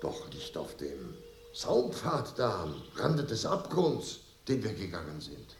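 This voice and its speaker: Brash, animated businessman, roomy sound. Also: sits low, slow, background noise, exaggerated voice. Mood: curious, amused. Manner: blunt, chatty, needling. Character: brash, nosy, jovial American businessman. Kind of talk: German radio drama acting.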